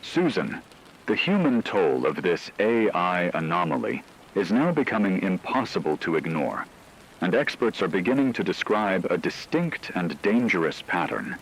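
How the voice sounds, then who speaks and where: A man playing a grave radio news anchor, reading scripted catastrophe news in a solemn, measured, formal way with a husky voice. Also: low voice, very animated, a little hiss or hum, rough recording. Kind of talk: scripted dramatic news narration.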